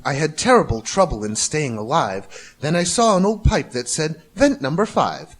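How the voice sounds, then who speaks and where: A man's voice: on the high side, very animated, normal speed. A man narrating a rhyming storybook with theatrical, rhythmic delivery. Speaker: amateur storybook reader.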